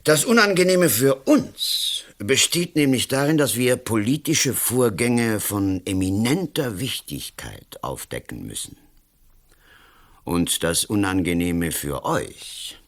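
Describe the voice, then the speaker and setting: Smug politician with wildly swooping delivery. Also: male voice, on the low side, big pitch swings, slow, full character voice. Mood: condescending. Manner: condescending, theatrical, emphatic. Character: domineering, smug male politician, blustering and sly. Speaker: actor in a radio play. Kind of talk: German-language radio drama acting.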